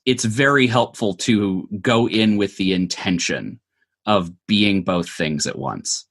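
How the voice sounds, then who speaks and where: A man's voice: on the low side, lively intonation, slow pace. A man giving thoughtful, conversational answers as an interview guest, explaining things with frequent asides. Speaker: interview guest, audio drama maker.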